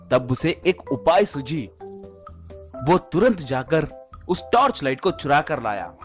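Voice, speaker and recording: Guy narrating a cartoon story, slightly high lively voice, light music behind.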